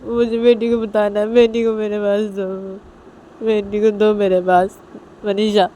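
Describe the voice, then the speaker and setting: A woman's voice: medium pitch, little pitch movement, measured pace, mellow, pitched above the performer's own voice, natural voice. A woman voicing a tearful, clingy young mother, pleading repeatedly in a sobbing, whiny delivery. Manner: repeats short pleas insistently.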